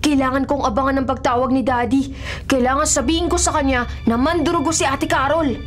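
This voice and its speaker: A woman's voice: sits high, fairly even intonation, brisk, lightly acted. A woman playing an anxious, earnest young boy in a Filipino radio drama, polite and easily frightened, over music. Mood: worried. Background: background music.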